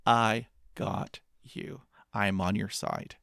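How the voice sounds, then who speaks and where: A man's voice: lowish voice, fairly even intonation, slow, creaky, fairly bright tone, no room sound, studio quality. A man in his fifties, a guest in an interview, who stutters with repeated sounds and speaks in an upbeat, motivational way. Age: 50s. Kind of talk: interview conversation.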